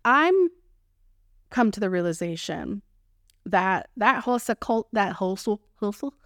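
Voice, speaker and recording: A woman in her thirties with a Texan accent, hosting a solo podcast in a playful, expressive way with casual slang. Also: medium pitch, slow, no echo, clean studio sound. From Texas, United States. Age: 30s. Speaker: podcast host.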